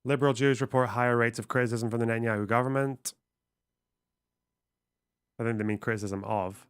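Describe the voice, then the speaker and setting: Man, smooth clear voice, dry close sound, reads aloud and muses, casual stream commentary. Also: medium pitch, fairly even intonation, fairly quick, no echo.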